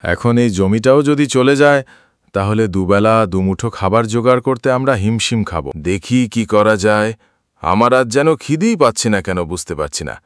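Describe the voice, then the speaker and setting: A man's voice: on the low side, expressive, moderate pace, no room sound, clean studio sound, close to an everyday voice. A man voicing a poor, humble village woodcutter in a cartoon, speaking earnestly and plaintively. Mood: worried. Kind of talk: Bengali moral-story cartoon voice acting.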